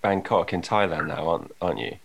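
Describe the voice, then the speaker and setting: A man's voice: deep voice, fast, gravelly. A man hosting a podcast, asking curious, easygoing questions and laughing easily. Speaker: podcast host. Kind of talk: casual interview.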